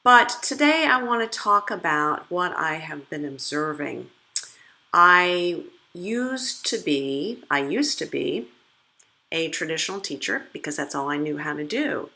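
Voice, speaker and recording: A retired woman teacher in her later years speaks earnestly to her viewers, trying to persuade them. Her voice sits a little low but sounds bright and clear, and she often restarts her sentences and fills pauses as she thinks. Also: very animated. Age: older. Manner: sincere, persuasive, with frequent restarts and fillers. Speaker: retired language teacher and video creator. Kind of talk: earnest reflective monologue.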